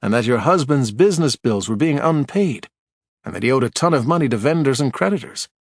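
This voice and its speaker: A man's voice: mid-range voice, lively intonation, brisk, no room sound, studio quality, pitched above the performer's own voice. A man voicing a blunt, plainspoken man who presses a witness with folksy, dogged questions.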